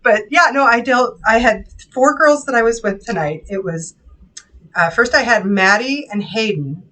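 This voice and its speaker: A woman co-hosting a live sewing shop stream, easygoing and quick to laugh. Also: on the high side, animated, bright, forceful tone, distant mic, rough recording. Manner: easygoing, laughs often, self-deprecating. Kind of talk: casual live-stream chat.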